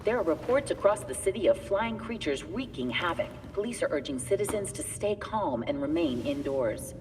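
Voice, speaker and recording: A woman's voice: lowish voice, expressive, moderate pace, lightly acted. A woman playing a serious television news reporter in a video game cutscene. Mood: grave, urgent. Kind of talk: broadcast news delivery within game cutscene. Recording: reverberant room, faint ambient noise.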